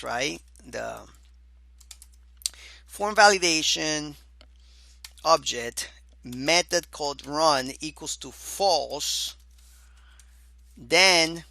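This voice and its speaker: A man teaching a beginner programming course over his screen, casual and chatty, often saying "all right" and calling the listener "my dear student"; his voice is going hoarse. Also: a little high, bright, forceful tone, dry recording. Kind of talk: screen-recorded coding lecture.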